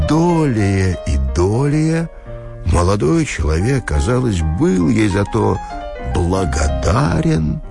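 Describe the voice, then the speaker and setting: A man narrating a literary audiobook expressively, with measured, dramatic phrasing and deliberate pauses. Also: mid-range voice, gravelly.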